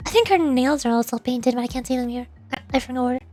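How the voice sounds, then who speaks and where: A woman game streamer talking casually in chatty, expressive, rambling asides. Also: sits high, fast talker. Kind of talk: livestream voice acting of game dialogue with casual commentary. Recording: music in the background. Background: game music.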